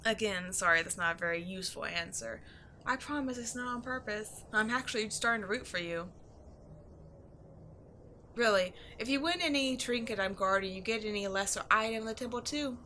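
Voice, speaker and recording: Woman voicing a bright, flirty giant sphinx. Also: a little high, brisk, a little hiss or hum. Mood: playful, encouraging. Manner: playful, teasing, expressive. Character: giant flirty female sphinx, teasing, excitable, ancient temple guardian. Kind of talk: roleplay audio acting addressed to the listener.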